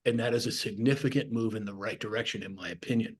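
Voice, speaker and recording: A man's voice: on the low side, quick pace, breathy edge. A man with an American accent, talking conversationally as the host of an interview show. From United States.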